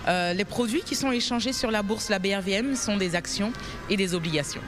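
A woman who works as a portfolio manager answers in a TV interview at an event venue, speaking carefully with pauses and fillers into a handheld mic. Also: medium pitch, big-room sound, over music. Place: event venue with backdrop banner.

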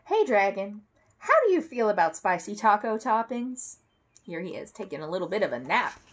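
A woman in her thirties reading a children's picture book aloud at home, expressively, with theatrical emphasis and deliberate phrasing. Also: mid-range voice, big pitch swings. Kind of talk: animated read-aloud to children. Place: indoor room at home, seated at a table.

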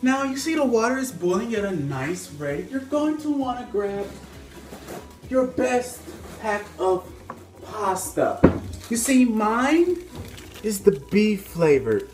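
A man's voice: high-pitched, sing-song, slow, background noise. A young man hosting a mock-serious home cooking video, playing the expert and joking with his viewers while he moves around the stove. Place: home kitchen, indoors. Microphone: phone camera on a stand, several feet away. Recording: echoey kitchen.

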